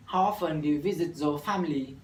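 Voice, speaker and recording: A nineteen-year-old university student from Vietnam with a Vietnamese accent, reciting an English practice dialogue in a careful, halting way with restarts. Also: male voice, fairly high pitch, darkish tone. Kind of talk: scripted classroom dialogue practice. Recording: echoey room from far off, faint noise.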